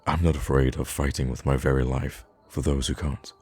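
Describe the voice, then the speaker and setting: A man playing a kind, charming young prince in an intimate audio roleplay, with formal, courtly phrasing and hesitant pauses. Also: extremely low pitch, flat delivery, darkish tone, slightly rough, faint music behind. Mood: resolute, earnest. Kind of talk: intimate ASMR roleplay acting.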